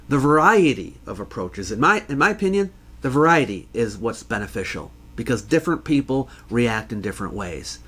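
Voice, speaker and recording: A man hosting an educational talk, answering a question in a measured, conversational manner with rhetorical questions. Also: mid-range voice. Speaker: host, history educator.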